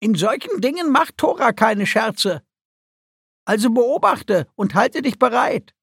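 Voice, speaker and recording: A cheeky little creature, pitched very high. The mousebeaver talks with brisk, bossy emphasis, stern yet encouraging, in a dry, close audiobook recording. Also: male voice, lively intonation, gravelly, a bit bright, husky, dry recording, heavily acted. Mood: stern encouragement.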